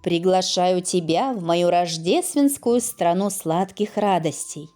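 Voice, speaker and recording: A woman's voice: lowish voice, unhurried, warm tone, faint music behind, close to an everyday voice. A woman voicing a brave, gallant male toy soldier and prince from a fairy tale, in a courtly, declamatory manner. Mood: grateful, courtly.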